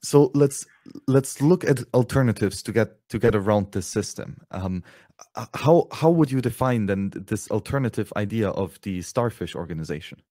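Casting: male interview host, fairly low voice, very dry close sound, formal and articulate. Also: lively intonation, moderate pace, warm tone, dry recording. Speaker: podcast host. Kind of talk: interview, reflective commentary.

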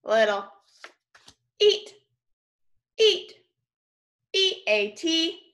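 Young woman, elementary teacher, high bright voice, slow careful enunciation, encouraging call and response. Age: young adult. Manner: animated, encouraging, enunciating words and letters for young children. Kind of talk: early-grade video lesson to children, call and response. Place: room in a home, indoors.

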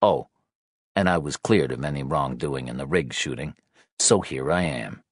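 A patient, world-weary detective in an audiobook thriller, acted by a male narrator. His voice sits low and stays calm and reassuring, with a dry understatement. The sound is close, with no room to it. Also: normal speed, breathy edge, no room sound.

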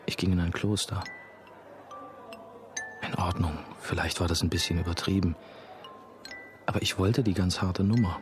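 A man's voice: low-pitched, moderate pace, long pauses, music in the background, natural voice. An actor playing a young novice monk who narrates his past in a radio play, dry, laconic and sardonic. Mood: dry, reflective. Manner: deadpan, laconic.

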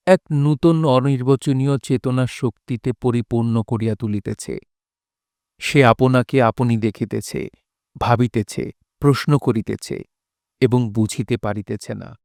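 A man narrating literary prose for an audio drama in a solemn, measured, dramatic way. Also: mid-range voice, darkish tone, clear, resonant tone, dry recording, studio quality.

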